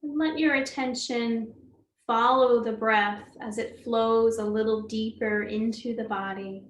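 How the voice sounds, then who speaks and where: Slow, soothing woman guiding meditation. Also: fairly high pitch, steady tone. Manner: soothing, gentle, unhurried with long pauses. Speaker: meditation teacher. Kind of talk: guided meditation. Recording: roomy echo, faint background noise.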